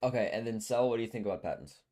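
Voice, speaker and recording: The low-pitched guy running a laid-back podcast among friends late in the evening. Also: racing, warm tone. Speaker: podcast host. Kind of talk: casual chat.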